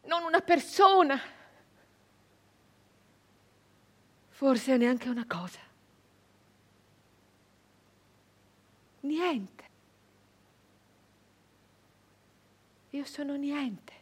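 An actress playing a proud, bitter peasant woman turned nineteenth-century brigand in a theatrical monologue, halting and repeating her words insistently. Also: high voice, expressive. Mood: bitter self-loathing. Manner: slow, halting, insistent repetition.

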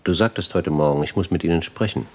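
Weary older father-in-law, vintage radio play: deep, grave, articulate, reflective delivery. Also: quick pace. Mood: calm. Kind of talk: 1950s radio drama acting.

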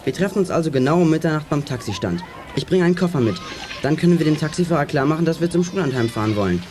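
Brisk, high-pitched boy detective leader played by a man. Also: animated, music in the background, natural voice. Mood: brisk, planning. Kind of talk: German children's audio drama acting.

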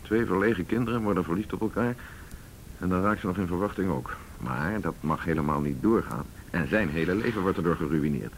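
A persuasive senior detective from a radio play, with a low voice and a Netherlands Dutch accent. He talks quickly and insistently, over faint noise. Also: expressive, low-quality recording. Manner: insistent, reasoning aloud. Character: senior male police detective, determined and persuasive. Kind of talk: naturalistic radio drama dialogue.

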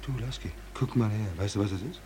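An actor playing an embittered, hungry merchant seaman in a 1940s German radio play, speaking in a halting, brooding, insistent way. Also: on the low side, lightly acted. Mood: alarmed, grim. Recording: noisy 1940s radio drama recording.

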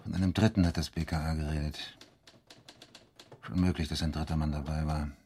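An actor playing a gruff, dry police detective, brusque and authoritative, in a radio drama. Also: male voice, a bass voice, flat delivery, brisk, darkish tone, a little hiss or hum. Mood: dry, businesslike. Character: gruff, dry, commanding male police detective, middle-aged son. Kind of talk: German radio drama acting.

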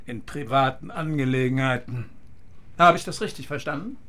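A man playing an older East German general, sardonic, condescending and deliberate, in a radio drama. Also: mid-range voice, fairly bright tone, close to an everyday voice. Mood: mocking. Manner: mocking, repetitive, deliberate military speech. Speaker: actor.

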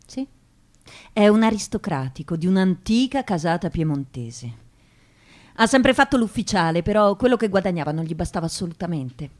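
A woman's voice: fairly low pitch, expressive, lightly acted. An actress playing an educated, disdainful young woman of a fallen aristocratic family, choosing her words precisely in a radio play. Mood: grave, candid. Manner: measured, precise, deliberate wording. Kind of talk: theatrical radio drama monologue.

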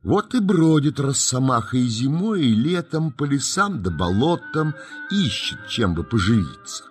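A man's voice: medium pitch, very animated, measured pace, soft background music. A man narrating a children's nature tale in a folksy, dramatic storytelling style, savouring his words.

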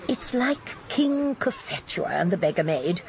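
An actress playing a shrewd, genteel elderly English spinster sleuth in a British radio drama, speaking precisely. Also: female voice, lowish voice, sing-song, a little slow, crowd noise. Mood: shrewd, gossipy. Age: older.

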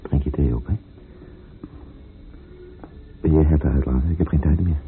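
An actor playing a busy, matter-of-fact but fond working husband in a naturalistic radio play, speaking casually and familiarly. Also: male voice, extremely low pitch, fairly even intonation, normal speed, soft background music. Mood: hurried, fond. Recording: muffled old radio play recording.

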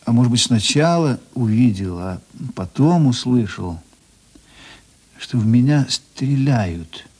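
An actor playing a reflective Soviet policeman who narrates in a radio drama, with a husky voice. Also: mid-range voice, animated, takes it slowly, a little mellow. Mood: tense, reflective. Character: reflective Soviet policeman recalling a night shootout.

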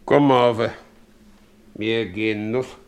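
An actor playing a gruff, stubborn village husband in a German radio play, blunt and earthy, speaking in dialect. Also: male voice, fairly low pitch, steady tone, slow, a little mellow. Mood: matter-of-fact.

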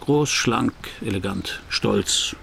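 An actor playing a wry, world-weary private detective who narrates a crime radio play in a dry, laconic, gravelly voice. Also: male voice, fairly low pitch, takes it slowly, a bit bright, slight background noise, heavily acted. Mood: wry narration.

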